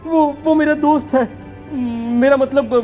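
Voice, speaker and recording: A woman's voice: sits high, fairly even intonation, unhurried, mellow, soft background music. A woman playing a distressed, earnest teenage schoolboy disappointed in his mother, in a dramatic audio story. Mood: shocked, questioning.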